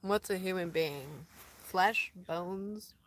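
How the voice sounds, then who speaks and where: A woman from Colorado chatting casually on a live stream outdoors in the desert, rambling, laughing often and dropping into soft asides. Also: lowish voice, slow pace, a little hiss or hum. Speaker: van-dwelling traveler streaming live.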